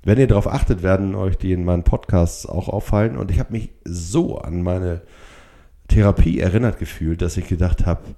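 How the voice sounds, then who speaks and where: A middle-aged or older man hosting a solo podcast, speaking reflectively and haltingly, with short blocks and pauses from a stutter. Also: sits low, dark tone. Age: 50s or older. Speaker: podcast host.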